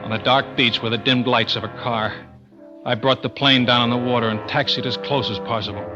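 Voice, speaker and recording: An actor playing a tough, terse pilot who narrates a crime thriller in old-time radio suspense style. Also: male voice, mid-range voice, fairly even intonation, brisk, natural voice. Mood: grim. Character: hard-boiled male pilot narrating his own crime thriller. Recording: dull vintage radio recording under loud music.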